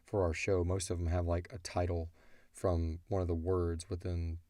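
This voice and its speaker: A man's voice: sits low, level tone, normal speed, warm tone, no room sound, studio quality. A middle-aged man hosting a casual question-and-answer chat as the creator of a show. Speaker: audio drama creator and show host.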